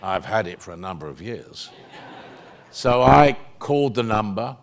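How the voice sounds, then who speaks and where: A British man in his sixties with a low voice tells anecdotes to a theater audience. He is chatty and rambling, with plenty of ums and self-corrections. He sounds like a stage microphone picked him up on stage. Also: lively intonation, unhurried, creaky. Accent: British English. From England. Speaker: actor answering audience questions. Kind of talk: stage Q&A storytelling. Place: theater stage, seated in armchair.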